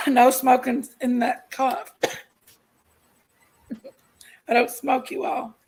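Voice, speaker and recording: A woman with a loud voice, joking in a casual livestream chat. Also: sits high, fairly even intonation, extremely slow, poor audio. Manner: loud, joking, gravelly chatter.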